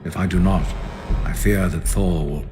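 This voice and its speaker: An actor playing a stern, weary old king of the gods and commanding father, speaking gravely and formally in a video game cutscene. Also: deep voice, fairly even intonation, normal speed, mellow, faint music behind, natural voice. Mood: solemn. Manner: grave, authoritative, formal archaic phrasing.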